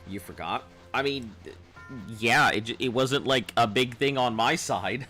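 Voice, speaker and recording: Man, baritone, game streamer, casual rambling chat, bright tone, faint music behind. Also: a little slow. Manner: rambling, loose, chuckling at chat.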